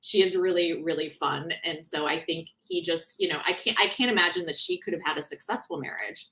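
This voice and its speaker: A woman author being interviewed in a casual book discussion, talking in an animated, conversational way and often breaking off mid-thought. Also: lowish voice, brisk.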